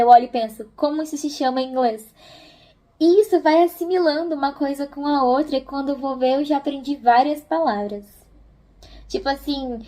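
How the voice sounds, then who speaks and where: A woman's voice: high-pitched, a little mellow. A young Brazilian woman chatting casually and encouragingly as she gives tips in a video. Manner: informal, encouraging. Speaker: host of a video channel.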